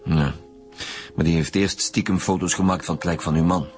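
An actor with a Flemish accent playing a chief detective who questions a suspect with probing questions in a radio drama. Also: male voice, low voice, fairly even intonation, moderate pace, music in the background, natural voice. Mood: probing, sober.

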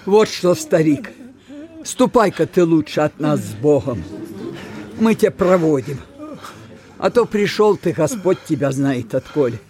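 An actress playing a brisk, insistent peasant servant woman in a radio play, with rustic folk speech. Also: low voice, very animated, dark tone. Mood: firm, uneasy. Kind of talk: Soviet radio theatre acting.

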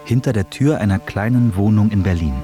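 A man with a fairly low voice narrates a radio feature from a script. Music plays clearly behind his documentary delivery. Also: fairly quick, mellow.

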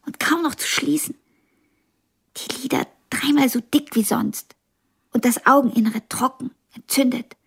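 An actress in a radio drama playing a blind young pianist, wounded yet ambitious and frank, with a halting, intense delivery. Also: female voice, high voice, creaky, husky, full character voice. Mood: suffering.

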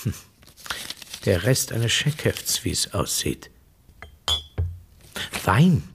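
An actor playing a brisk, methodical police inspector in a radio drama, deliberate and probing. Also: male voice, lowish voice, sing-song, gravelly, background noise, exaggerated voice. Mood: musing. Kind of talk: radio play acting.

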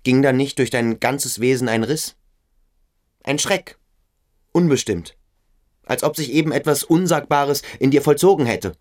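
An actor playing an intense teenage schoolboy who questions insistently in a radio play. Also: male voice, mid-range voice, measured pace, long pauses, a little mellow, natural voice. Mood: intense, urgent. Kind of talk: German radio play acting.

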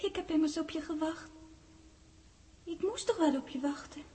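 A woman's voice: sits high, moderate pace, warm tone, slight background noise, close to an everyday voice. An actress playing a girl of about nine, childlike and scolding, in a 1960s radio drama. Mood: pleading, welcoming. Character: young girl of about nine, chiding and fussing.

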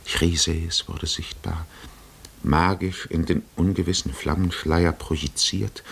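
An actor playing a solemn epic storyteller, narrating in a measured, poetic way in a 1950s radio drama. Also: male voice, low voice, creaky, breathy edge, exaggerated voice.

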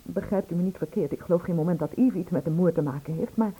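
A woman's voice: on the low side, fast talker, mellow, slightly rough. An actress playing an anxious woman in a radio play, speaking in a hesitant, confiding way. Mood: confiding, uneasy. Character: anxious, confiding woman, shaken witness to a murder. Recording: tinny, narrow-band old radio recording.

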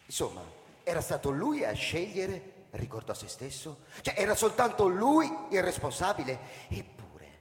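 A man narrating a scripted story in a theatrical, suspenseful and strongly expressive way. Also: high-pitched, moderate pace. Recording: echoey hall reverb, crowd noise behind.

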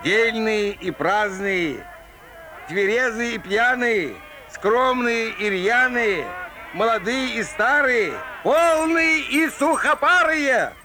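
High-pitched, swooping fairground showman. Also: animated, noisy background, exaggerated voice. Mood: showy, playful. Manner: drawn-out, declamatory, playful sing-song. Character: theatrical male fairground peepshow barker telling rhymed folk tales. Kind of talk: rhymed Russian folk-tale showman patter.

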